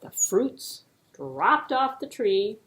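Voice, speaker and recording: A woman storyteller narrating a children's puppet show expressively, with dramatic pauses and playful delivery. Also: on the high side, very animated, a bit bright, rough recording.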